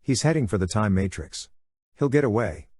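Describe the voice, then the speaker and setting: A man voicing a frantic, grieving teenage boy fighter in an audiobook, wailing and yelling. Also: fairly low pitch, expressive, moderate pace, dry recording, clean studio sound. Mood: frantic alarm.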